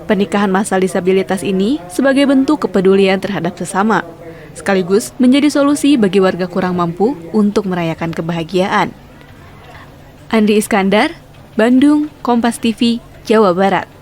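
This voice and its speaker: Female Indonesian news reporter, mid-range pitch, scripted report narration. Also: animated, moderate pace, darkish tone.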